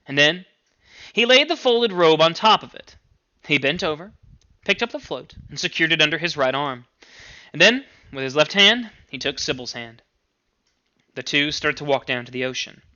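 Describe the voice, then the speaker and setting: Bright-voiced man reading a story aloud. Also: on the high side, lively intonation, moderate pace, pauses often, muffled recording. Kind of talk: expressive audiobook-style story narration.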